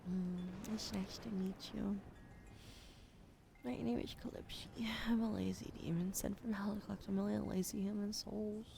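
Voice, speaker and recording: Gravelly sleepy woman streamer. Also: mid-range voice, level tone, normal speed, slight background noise. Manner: drowsy, soft, whispering at times. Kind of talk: sleepy ASMR stream chat.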